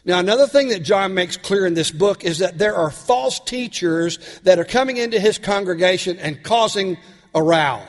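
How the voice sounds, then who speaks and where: A male pastor, raspy from a cold, preaching a sermon with earnest questions to his congregation. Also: sits high, moderate pace, long reverb tail.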